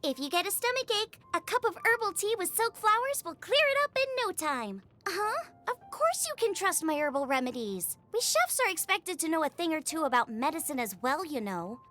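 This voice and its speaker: A woman voicing a bubbly, eager, food-obsessed young woman chef in an expressive video game style, chatty and full of exclamations. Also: extremely high pitch, normal speed, few pauses, heavily acted. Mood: proud, enthusiastic. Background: music.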